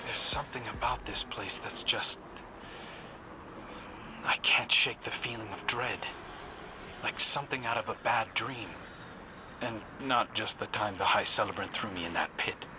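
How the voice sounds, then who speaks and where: A man's voice: on the low side, a little slow, long pauses, lightly acted. A man playing an uneasy, brooding adventurer in video game dialogue, speaking conversationally. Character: uneasy male adventurer in a dreadful haunted place. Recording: clear noise, vehicle-like ambience.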